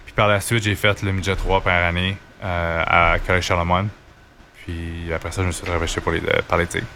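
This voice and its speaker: Male interview guest, Quebec accent, lowish and bright, colloquial storyteller, slight background noise. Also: flat delivery, a little fast. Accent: Quebec French. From Quebec, Canada. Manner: informal, colloquial. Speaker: interview guest, hockey goaltender. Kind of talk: casual conversational storytelling.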